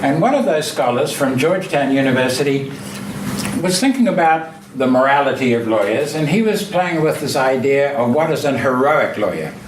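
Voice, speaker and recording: A man giving an academic lecture as guest speaker, measured and full of uh fillers and restarts. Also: mid-range voice, lively intonation. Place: lecture room. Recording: roomy, distant, noisy hall.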